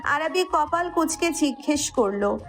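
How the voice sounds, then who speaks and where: A Bangladeshi woman reads a romantic story as its narrator. Her voice sits high, and soft music plays faintly behind her scripted delivery. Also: expressive, measured pace.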